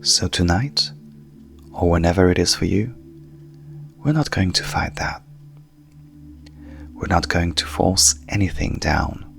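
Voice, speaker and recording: A man speaking as a hypnotherapist in a calm, hushed, soothing manner, softly guiding a sleep meditation with long pauses. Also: deep voice, gravelly. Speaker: coach and hypnotherapist guiding a session.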